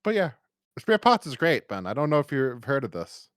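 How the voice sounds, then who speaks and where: A man's voice: medium pitch, very animated, normal speed, no room sound, studio quality. A man co-hosting a podcast, talking casually and in a rambling way as he reviews and acts out imagined lines. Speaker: podcast co-host.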